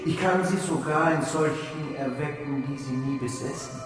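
An actor playing a menacing Renaissance doctor of secret arts, speaking in solemn, oracular, archaic radio drama style. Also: male voice, medium pitch, normal speed, close to an everyday voice. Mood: ominous, solemn. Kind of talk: theatrical German radio drama acting. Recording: echoey hall, distant, music behind.

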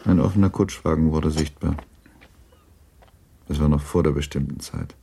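A male narrator reading literary prose for a radio drama. His voice is extremely deep and mellow with a gravelly fry, and his delivery is sober and measured. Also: steady tone.

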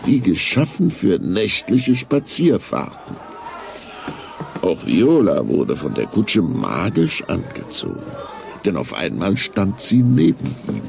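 A man's voice: deep voice, wildly swooping pitch, takes it slowly, vocal fry, music in the background. A man narrating a scripted German children's radio play as a storyteller, with dramatic, suspenseful delivery.

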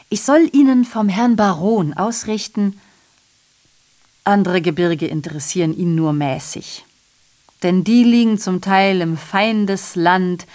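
An actress performs a female messenger of grim past events in a theatrical radio play. Her voice sits at a middle pitch. She declaims with sardonic mockery, then turns confiding, as if sharing a nasty secret. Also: natural voice. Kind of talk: theatrical radio drama monologue.

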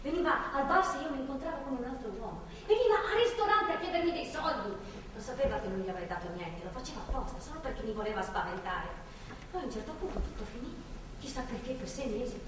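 High-voiced defiant young captive, echoey stage. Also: female voice, very animated. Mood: shaken, bitter recounting. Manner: foul-mouthed, agitated, repetitive and halting. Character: captive young pregnant woman, bitter, sarcastic, defiant. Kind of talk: theatrical stage drama acting. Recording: echoey, distant theatre recording, faint noise.

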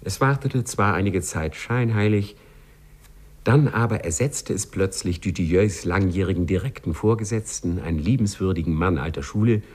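A man narrating a 1950s radio play as a wry, genteel storyteller, measured and dryly ironic. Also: low-pitched, darkish tone, slightly rough. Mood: dryly ominous. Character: wry, genteel male storyteller addressing the listener.

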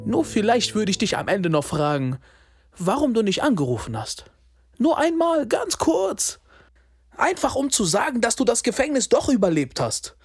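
A man's voice: very high-pitched, very animated, normal speed, husky, full character voice. An actor in a radio drama playing a young man at his estranged father's funeral, telling it in a bitter, wry inner monologue. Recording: spoken over loud music. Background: loud guitar music.

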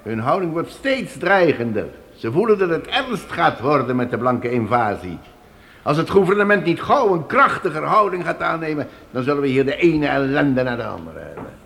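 An actor playing a practical, plain-speaking fort trader in a radio play, giving matter-of-fact advice. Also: male voice, a little high, sing-song, moderate pace, vocal fry, fairly bright tone, full character voice. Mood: grave advice. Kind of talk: Dutch radio play acting. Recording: noisy old radio play recording.

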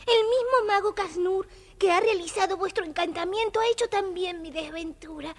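An actress playing a sorrowful young princess turned into an owl in a children's audio storybook, plaintive and formal. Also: female voice, extremely high pitch, normal speed.

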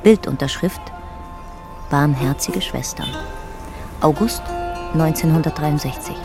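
A detached female narrator reads clipped, factual documentary passages in a radio play. Music plays clearly behind her. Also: sits low, a little slow. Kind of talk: scripted narration in a radio drama.

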